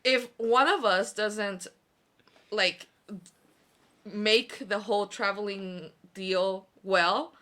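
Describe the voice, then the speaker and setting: Giggly young woman, bright voice. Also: medium pitch, steady tone, drawn-out words. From Korea. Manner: laughs often, rambling with restarts. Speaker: podcast guest. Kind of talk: casual chat.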